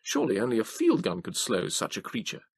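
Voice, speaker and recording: A man voicing an excitable, blunt Victorian gentleman who exclaims in a hushed, raised voice. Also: fairly low pitch, brisk, gravelly, breathy edge, dry recording, clean studio sound, full character voice. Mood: impressed.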